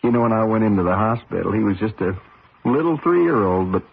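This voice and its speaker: A man's voice: on the low side, animated, brisk, gravelly, phone-quality sound. An actor playing a weary, affectionate husband and father, casual and fond, in an old radio drama. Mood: tired, fond. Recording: band-limited noisy old radio recording.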